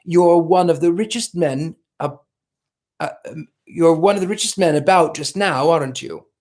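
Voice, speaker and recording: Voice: mid-range voice, moderate pace, a little mellow, natural voice. A reader voicing a chatty, frank young American society woman in a novel read aloud, with breezy, naive chatter. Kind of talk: audiobook character voice.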